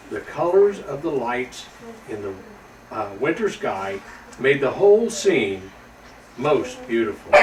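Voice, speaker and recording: A man reads a children's tale with a very lively, varied melody. His voice sits a little high and comes from some distance in a reverberant room. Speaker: storybook reader. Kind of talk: expressive children's storytelling. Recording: echoey room, distant mic, faint noise.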